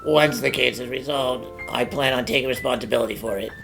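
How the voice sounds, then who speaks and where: A woman voicing a stern, exacting female bank manager in an animated fan dub, with a curt, formal and severe delivery. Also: a bass voice, a little fast, gravelly, music in the background. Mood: stern, controlled. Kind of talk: amateur English fan dub of animated game dialogue.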